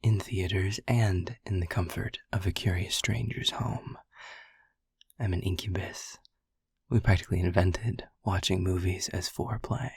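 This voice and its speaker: A man's voice: deep voice, fairly even intonation, unhurried, no echo, studio quality, full character voice. A man voicing a flirty, protective male incubus in an audio roleplay, with a teasing, cocky manner. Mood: flirty, playful. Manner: teasing, seductive, breathy.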